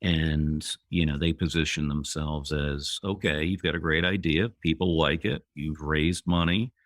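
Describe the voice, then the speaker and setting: A man in his fifties, a guest entrepreneur on an interview podcast, speaking in a measured way with pauses. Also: rumbling low, fairly even intonation, dry recording, studio quality. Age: 50s.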